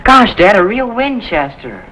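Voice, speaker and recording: A young male actor playing an eager, tender-hearted country boy in an earnest, excitable, folksy way in a 1950s television drama. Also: high-pitched, unhurried, full character voice. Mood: eager, excited. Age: child. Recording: dull, noisy 1950s TV soundtrack.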